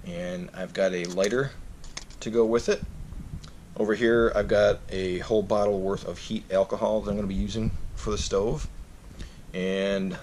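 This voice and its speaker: Low-pitched male vlogger, easygoing gear rundown, faint room hiss. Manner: relaxed, plain-spoken, frequent fillers. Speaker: backpacking vlogger. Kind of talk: casual gear walkthrough. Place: indoors, carpeted room.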